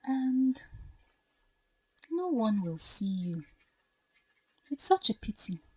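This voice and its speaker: Woman playing a breathy, slow-spoken troubled bride-to-be. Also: a little high, sing-song, no echo, heavily acted, low-quality recording. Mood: wistful, torn. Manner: soft, breathy, hushed delivery. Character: Helen, troubled young bride-to-be dressmaker, wistful and resolute. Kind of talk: audio drama acting.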